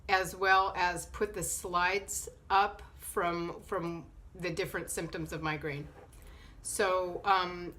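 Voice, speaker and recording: A woman in her thirties with a cold, sounding a little nasal, talking casually to camera in a living room and pausing often with fillers. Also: medium pitch, fairly bright tone. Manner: hesitant, many fillers and restarts. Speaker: vlogger. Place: sunny living room indoors.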